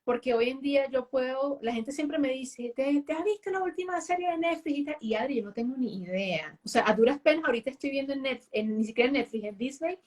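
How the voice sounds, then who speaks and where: A woman's voice: high voice, brisk, hardly any pauses, a little mellow. A woman guest in a casual conversation, talking freely and checking in with tag questions as she goes. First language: Spanish. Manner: chatty, full of tag questions.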